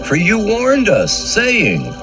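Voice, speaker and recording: A man's voice: sits high, wildly swooping pitch, takes it slowly, music in the background, exaggerated voice. A man acting an earnest prophet in dramatized scripture audio, speaking in a declamatory way. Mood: earnest, protesting.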